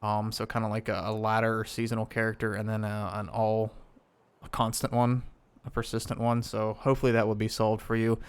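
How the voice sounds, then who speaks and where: A man's voice: on the low side, completely flat delivery, fairly quick, clean tone. A man streaming a video game live, chatting casually with viewers, rambling with lots of fillers as he loots and sorts gear.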